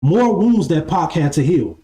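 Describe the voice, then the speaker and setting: A man's voice: on the high side, lively intonation, a little slow, mellow. A man in his twenties giving a casual spiritual talk as a live-stream host, repeating his phrases and often asking "right?". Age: 20s. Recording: echoey room with faint music.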